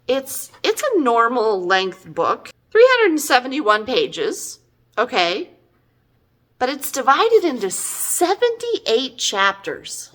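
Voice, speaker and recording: A woman's voice: sits high, huge pitch swings, slow pace. A middle-aged woman giving a casual, chatty book review in an exasperated, emphatic, conversational way.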